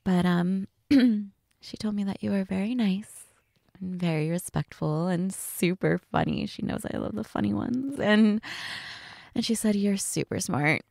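Woman acting a flirty, slow-talking date, creaky voice. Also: mid-range voice, a little mellow, no echo, clean studio sound. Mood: teasing, playful. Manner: giggly, hesitant, teasing, conversational. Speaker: audio roleplay voice actress.

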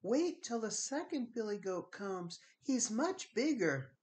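A man puts on a tiny young billy goat from a storybook. The little voice is high, soft and timid. It pleads meekly, lifted well above an adult man's talk. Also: big pitch swings, takes it slowly, exaggerated voice. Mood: timid pleading. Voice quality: very small, soft voice.

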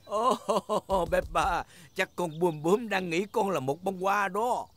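A man's voice: sits high, slow pace, fairly bright tone, heavily acted. A man playing a jolly, doting elderly grandfather pig in a dubbed children's cartoon, with a hearty, playful manner.

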